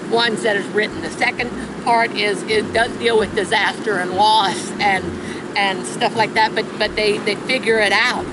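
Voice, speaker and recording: A woman from a theatre cast talking casually in an interview. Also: fairly high pitch. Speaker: community theatre cast member. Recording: loud crowd and ambient noise.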